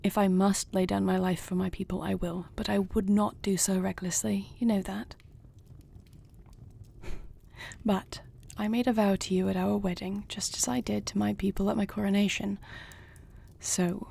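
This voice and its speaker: A woman playing a noble, devoted warrior queen in an intimate fantasy audio roleplay, husky and breathy, speaking in measured, earnest, formal phrases. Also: mid-range voice, little pitch movement, warm tone. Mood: earnest, reassuring.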